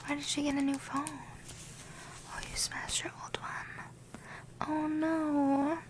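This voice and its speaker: A woman's voice: sits high, level tone, takes it slowly. Whispering doting girlfriend played by a woman. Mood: sweetly chatty. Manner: soft whispering, coaxing. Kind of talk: ASMR roleplay. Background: clear background music.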